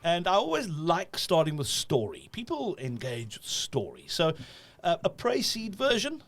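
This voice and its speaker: A man from South Africa hosts an interview, introducing his guest in a playful, upbeat way. His voice rises and falls a great deal, and he stumbles over repeated words as he warms up. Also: sits high, huge pitch swings, unhurried. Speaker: podcast host. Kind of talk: interview hosting.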